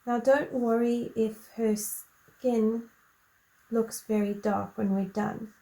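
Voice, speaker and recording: Slow, croaky woman with a gravelly voice. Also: on the high side, flat delivery, poor audio. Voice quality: slow, soft-spoken and gentle. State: croaky voice with a lingering cough. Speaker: coloring tutorial host. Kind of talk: relaxed chatty tutorial.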